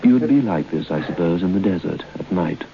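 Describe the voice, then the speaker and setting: An actor playing an ominous storyteller, narrating an old-time radio horror tale in deliberate short phrases. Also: male voice, sits low, lively intonation, creaky. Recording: muffled, noisy old radio broadcast.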